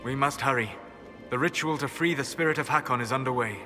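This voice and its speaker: A man's voice: mid-range voice, fast talker, sharp tone, long reverb tail, faint music behind, lightly acted. A man playing a grave, knowledgeable mage in a fantasy video game, speaking urgently and precisely.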